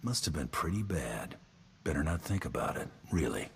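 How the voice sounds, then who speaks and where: A man voicing a cocky, deadpan bounty hunter in a video game, dry and self-assured. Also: extremely low pitch, normal speed, gravelly, a little hiss or hum, full character voice. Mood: dry affection.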